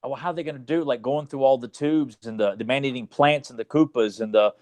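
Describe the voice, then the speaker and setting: A man's voice: mid-range voice, fast, a little mellow. A man co-hosting a podcast, talking casually about movies in a rambling way full of asides. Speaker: podcast co-host.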